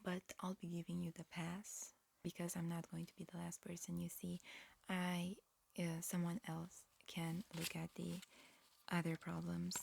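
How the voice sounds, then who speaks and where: A woman performing a whispered ASMR roleplay, mixing breathy whispers with soft speech in a halting, matter-of-fact, instructional manner. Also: on the low side, monotone, a little hiss or hum. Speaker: ASMR performer playing an alien examiner.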